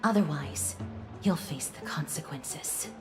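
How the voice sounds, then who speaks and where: A woman's voice: sits low, takes it slowly. A woman acting a stern, guarded noble lady in a video game, formal, cautious and commanding. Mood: threatening. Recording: game music behind dialogue.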